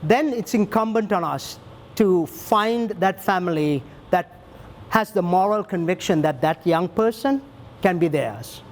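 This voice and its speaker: Earnest high-voiced man answering questions. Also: expressive, measured pace. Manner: earnest, thinks aloud with fillers and restarts. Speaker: child welfare leader answering audience questions. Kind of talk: panel Q&A, conversational.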